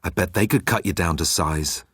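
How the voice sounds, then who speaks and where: Vain, indignant space rogue, performed by a male reader, low and pompous, dry sound. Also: moderate pace, fairly bright tone, slightly rough, no echo. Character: vain, boastful, offended space-rogue alien man.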